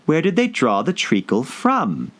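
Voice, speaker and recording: A man's voice: on the high side, wildly swooping pitch, a little slow, voice pushed up, heavily acted. A man voicing a curious, polite young girl in a storybook, easily exasperated. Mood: curious, puzzled.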